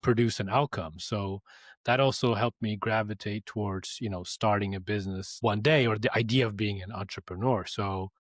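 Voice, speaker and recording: A man answering interview questions as a company co-founder, relaxed and measured. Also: fairly low pitch, dry recording, studio quality. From Norway.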